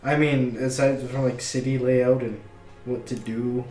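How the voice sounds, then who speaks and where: A man playing a tabletop game with a slow, wry delivery. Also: medium pitch, level tone, mellow. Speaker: player. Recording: echoey room, far from the mic, faint music.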